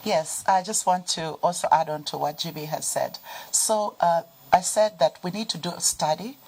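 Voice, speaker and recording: A Zambian woman with a fairly low voice speaks as an expert guest on a panel interview. She explains her points in a practical way, using everyday examples. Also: normal speed, darkish tone, rough recording. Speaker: financial sector guest expert. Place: TV studio.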